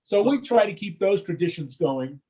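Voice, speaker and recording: A man from the United States in a casual interview, rambling warmly and tagging his sentences with "okay?" and "you know?". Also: high-pitched, lively intonation, moderate pace, breathy edge, highs cut off.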